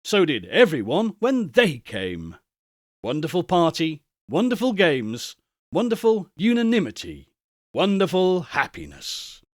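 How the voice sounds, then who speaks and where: A man narrating a classic tale as a storybook reader, in an expressive literary style. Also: a little high, unhurried, dry recording, studio quality.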